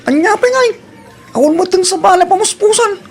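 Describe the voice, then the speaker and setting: A man with a Filipino accent playing a wayward, wheedling young student son with a creaky voice in an audio drama. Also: extremely high pitch, a little fast. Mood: rowdy, scheming. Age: young adult. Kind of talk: Ilocano radio-style drama acting.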